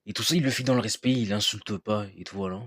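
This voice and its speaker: A man with a fairly low voice and a close, dry sound. He comments casually on clips, repeats his fillers and lectures his viewers. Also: quick pace, fairly bright tone, slightly rough, no echo. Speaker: reaction video host.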